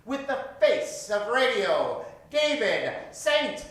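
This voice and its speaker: A man's voice: very high-pitched, sing-song, slow, very noisy. A man hosting an improv comedy show, projecting theatrically as he asks a live audience for suggestions. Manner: theatrical showman, projecting to a crowd. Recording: very echoey theater, distant mic.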